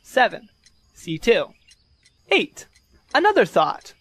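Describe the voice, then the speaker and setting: Scheming male rabbit, officious, lively swooping delivery, close dry sound, storybook play, voiced by a woman. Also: medium pitch, slightly rough, no echo, heavily acted. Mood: scheming, bossy. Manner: brisk, officious, instructing. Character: bossy, scheming, self-assured male rabbit. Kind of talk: children's storybook audio drama.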